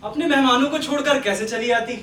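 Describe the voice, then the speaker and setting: A forgiving family man acted by a man pleads politely and moralises gently. His delivery is measured and insistent. He is heard from a distance in an echoing theatre. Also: extremely high pitch. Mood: earnest, reproving. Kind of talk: Hindi stage play acting. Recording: echoey, distant stage recording with noise.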